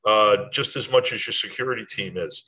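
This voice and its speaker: Older man, lowish pitch, bright forceful tone, plainspoken, informal instructional host, direct address. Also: a little fast, poor audio. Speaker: host of a short athletic administration advice series. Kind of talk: informal talk to camera, instructional.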